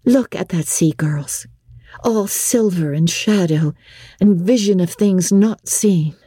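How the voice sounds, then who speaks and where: A woman's voice: lowish voice, slow pace, mellow, breathy edge, dry recording, studio quality, pitched above the performer's own voice. A woman voicing an earnest, dreamy sixteen-year-old schoolgirl with a breathless, emphatic way of talking, in a storybook reading. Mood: staunch, rapturous.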